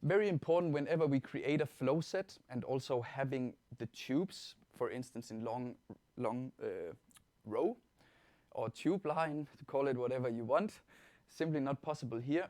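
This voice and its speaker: A man with a Danish accent giving an informal software tutorial as a webinar presenter, explaining things step by step in a reassuring way. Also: medium pitch, dry recording. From Denmark. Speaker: webinar presenter, product trainer.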